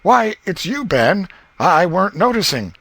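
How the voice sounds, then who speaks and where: A man's voice: high-pitched, sing-song, normal speed, creaky, a bit bright, no echo, voice pushed up, exaggerated voice. A man voicing a sly country boy in a storybook, folksy and hedging with feigned reluctance. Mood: offhand.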